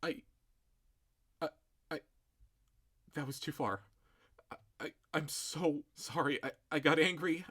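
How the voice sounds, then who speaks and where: A man's voice: fairly high pitch, moderate pace, sharp tone. A man voicing a defensive female contestant who pleads and stammers through her apologies. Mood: panicked.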